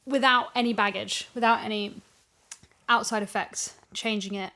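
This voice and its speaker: A woman speaks in a casual, conversational way. She is animated and wordy, working out her thoughts as she goes, like an actor talking about the craft. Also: medium pitch, moderate pace. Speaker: voice actor and podcast guest. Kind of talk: casual chat.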